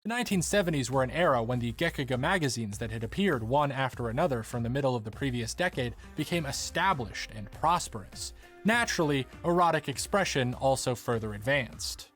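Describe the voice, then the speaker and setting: Male narrator, scripted documentary essay, measured and formal, medium pitch, background music. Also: lively intonation, fairly bright tone.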